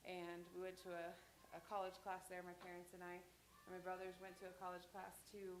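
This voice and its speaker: A woman's voice: fairly low pitch, monotone, fairly quick, a little hiss or hum. Chatty woman teaching in an echoey church. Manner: chatty, rambling with anecdotes and restarts. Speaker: sign language teacher at church. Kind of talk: casual classroom talk. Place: church hall.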